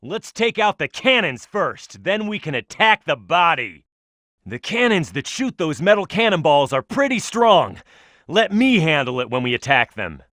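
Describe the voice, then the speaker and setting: Tough cartoon echidna fighter, fairly high male pitch, very animated intonation, hot-headed, confident, video game hero delivery. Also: normal speed, dry recording, clean studio sound. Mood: urgent, instructive. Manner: brash, punchy, emphatic delivery. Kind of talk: video game voice acting, tutorial hints and battle shouts.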